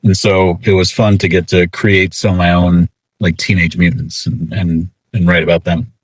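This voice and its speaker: Low-pitched man in casual interview. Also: level tone, normal speed, slightly rough. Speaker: interview guest, comic book writer.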